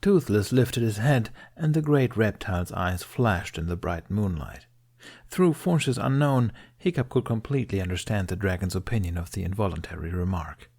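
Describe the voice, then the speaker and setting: A man with a lowish voice narrates an audiobook. His delivery is scripted, dramatic storytelling, read aloud as prose. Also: lively intonation, normal speed, darkish tone, husky.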